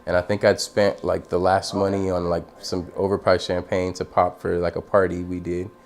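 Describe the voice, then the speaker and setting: A man's voice: low-pitched, completely flat delivery, moderate pace. An American man in his thirties talking casually and in a laid-back way in a documentary interview. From San Diego, California, United States. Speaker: barbershop owner. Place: inside a busy barbershop. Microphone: lapel mic. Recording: background noise of busy barbershop.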